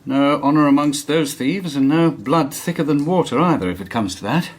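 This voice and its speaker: An actor playing a brisk, commanding Victorian police inspector in a radio drama, dry in manner. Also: male voice, fairly high pitch, close to an everyday voice. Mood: measured.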